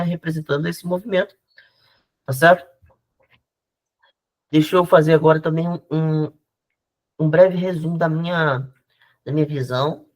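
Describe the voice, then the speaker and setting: A man from Rio de Janeiro giving an informal history lecture over a video call, chatty, with frequent restarts and tag questions. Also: fairly high pitch. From Rio de Janeiro, Brazil. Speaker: history teacher giving a talk. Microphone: video call microphone.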